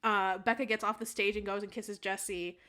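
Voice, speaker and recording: A woman in casual comic chat, talking in an animated, scattered, exclamatory way. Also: medium pitch, normal speed. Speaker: podcast panelist.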